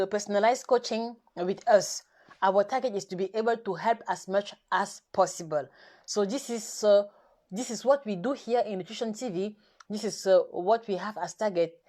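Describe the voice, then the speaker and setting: French-speaking lady coaching live in shaky english, lots of uh and restarts, talks right at the viewers. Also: mid-range voice. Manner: halting, self-correcting English with many restarts and fillers; coaxing, appeals directly to viewers. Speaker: nutrition coach hosting a live session. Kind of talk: live stream chat to viewers.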